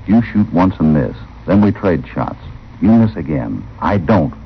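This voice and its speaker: An actor playing a shrewd cowboy hero in a radio western, brisk and confident, with an American accent and an air of authority. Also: male voice, sits low, sing-song, vocal fry, slightly rough, heavily acted. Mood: methodical. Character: shrewd, commanding cowboy hero investigating a mystery. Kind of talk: old-time radio western acting. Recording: muffled, noisy old radio recording.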